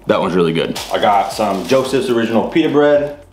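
A man's voice: lowish voice, animated, fairly quick, over music. A young man chatting casually to camera in his home kitchen, showing off groceries with a loose, rambling delivery full of "uh" and "like". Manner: loose and rambling, many fillers like "uh" and "like". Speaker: fitness vlogger. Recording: roomy kitchen with clear background noise.

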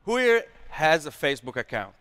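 A young man with an Israeli accent, a startup founder pitching his product on stage with energetic, slightly broken English. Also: moderate pace, clean tone, some chatter behind. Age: young adult. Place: large hall with stage. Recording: long hall reverb.

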